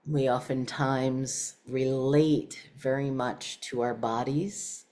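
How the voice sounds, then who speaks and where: Woman, meditation teacher, very deep voice, slow pace, short measured phrases with pauses. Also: a little mellow. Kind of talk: guided meditation.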